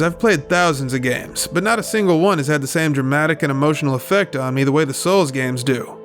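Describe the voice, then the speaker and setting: A man hosts a scripted video essay in a somewhat high voice. He is opinionated and emphatic, with casual, sweary phrasing. Music plays faintly behind his narration. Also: a bit bright.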